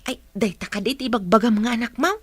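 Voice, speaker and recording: A woman's voice: on the high side, fast talker, breathy edge, dry recording. A woman playing a cheerful, fond household woman in an audio drama, exclaiming with a Filipino accent. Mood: delighted.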